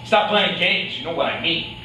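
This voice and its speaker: In a very echoey room, far from the mic, a man acts out a menacing gangster fixer. His highish voice moves up and down a lot as he pushes and threatens in a stage-play reading. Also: lively intonation, normal speed, natural voice. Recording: echoey room, distant mic, faint noise.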